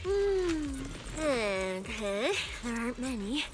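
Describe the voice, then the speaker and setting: A woman playing a cheeky, excitable young case specialist in a video game, expressive and boastful. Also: fairly high pitch, slow pace, background noise. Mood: breezy, wary. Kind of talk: animated video game voice acting.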